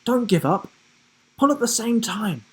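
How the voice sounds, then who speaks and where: A man's voice: sits high, expressive, measured pace, pitched above the performer's own voice. A man voicing a plucky, encouraging adventurous girl from a children's storybook, confident and urging.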